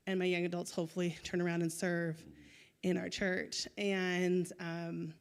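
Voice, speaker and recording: A young woman giving a chatty, upbeat missionary testimony at church into a handheld mic, with many ums. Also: on the low side. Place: church sanctuary. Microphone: handheld microphone.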